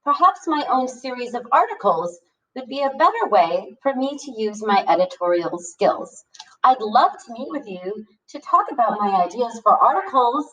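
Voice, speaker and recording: A woman's voice: a little high, sing-song, moderate pace, fairly bright tone, highs cut off. Sarcastic schoolboy, read by a woman, distant mic. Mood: sarcastic, defiant. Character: sarcastic, melodramatic schoolboy writing reviews and letters. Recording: distant microphone.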